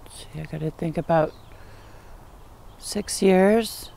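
Halting woman in her sixties, slower pace. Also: fairly low pitch, gravelly, dark tone. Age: 60s. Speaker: documentary interviewee. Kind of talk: unscripted personal recollection. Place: outdoors in a backyard.

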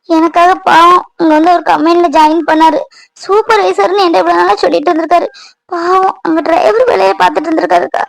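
A woman's voice: extremely high pitch, vocal fry, no room sound, low-quality recording. A woman voicing a frightened young wife who cries and pleads with an older friend. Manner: tearful, trembling, pleading. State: crying. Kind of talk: Tamil domestic audio drama.

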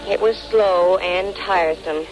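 A woman's voice: on the low side, unhurried, soft background music, lightly acted. An actress playing a confident, wisecracking female private detective in 1940s radio style, with an American accent and a dry manner. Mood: wry, narrating. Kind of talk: 1940s radio acting, first-person noir narration. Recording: noisy 1940s radio recording.

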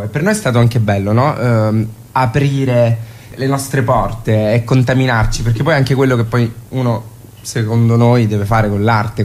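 Lower-voiced man, thoughtful interview guest. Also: darkish tone. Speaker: interview guest from a theatre company. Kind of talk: conversational radio interview.

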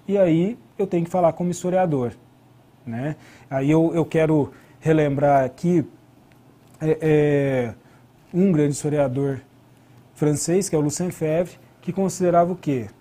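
Brazilian male lecturer, middle-aged. Mellow, slightly high voice, explanatory academic delivery with rhetorical questions. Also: unhurried. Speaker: history lecturer and researcher. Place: indoor studio at a desk.

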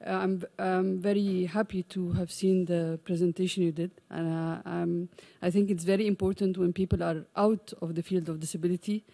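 A middle-aged woman from Egypt speaking from the floor at a conference, with many pauses, fillers and restarts. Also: fairly low pitch, little pitch movement, gravelly, dark tone. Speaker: audience member, parent advocate. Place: large conference room. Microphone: desk microphone.

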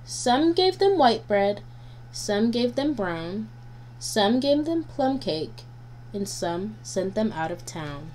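Slow-reading woman with noisy hum behind. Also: mid-range voice, very animated, a little mellow. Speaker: guest storybook reader. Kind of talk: nursery rhymes read aloud for children. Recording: noisy home recording with hum.

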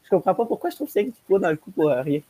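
Fast-talking young Québécois woman. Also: lowish voice, big pitch swings, warm tone, breathy edge, rough recording. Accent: Québécois French. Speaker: podcast panelist. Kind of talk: casual group chat.

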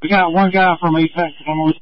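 A man's voice: on the high side, little pitch movement, brisk, no room sound, muffled recording. A man giving short firefighter reports over a two-way radio.

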